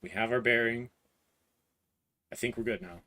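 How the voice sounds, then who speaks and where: A hoarse man in his thirties livestreaming a printer build, talking haltingly as he searches for words and clears his throat. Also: mid-range voice, pushed and bright. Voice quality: voice cutting out, clears throat often. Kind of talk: live build stream, casual talk while working.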